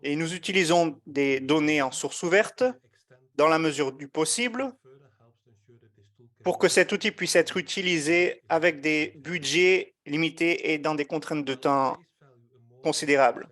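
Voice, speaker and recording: A man giving a live interpretation of an online meeting, measured and often hesitating as he keeps up with the speakers. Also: on the high side, a bit bright. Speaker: simultaneous interpreter.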